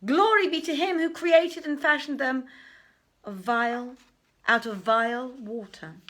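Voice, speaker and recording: A woman acts out a grave old merchant chief from a storybook, obliging and lecherous. He speaks formally and slowly, savouring his words. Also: high-pitched, sharp tone, voice pushed up, full character voice. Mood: covetous relish. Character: grave old male market overseer, lavishly obliging, lecherous.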